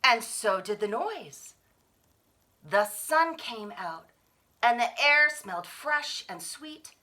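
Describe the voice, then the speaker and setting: A woman reads a picture book to children in a high, very bright voice. Her delivery is theatrical and strongly animated, taking its time and stretching out the refrains. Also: takes it slowly. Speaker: children's story reader at a library. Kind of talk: expressive picture-book read-aloud.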